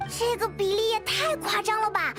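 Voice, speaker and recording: A woman voicing a tiny, excitable, chatty fairy-like companion with a squeaky childlike voice in an anime game. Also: moderate pace, over loud music. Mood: astonished.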